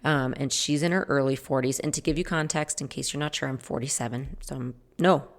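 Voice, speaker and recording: Low-voiced woman in her forties, chatty. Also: fairly even intonation, brisk. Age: 40s. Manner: chatty, rambling, corrects herself mid-sentence. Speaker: podcast host. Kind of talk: casual solo podcast talk.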